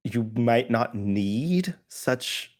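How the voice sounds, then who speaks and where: A man in casual podcast conversation, animated and often laughing, sometimes halting and restarting while he thinks. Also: medium pitch.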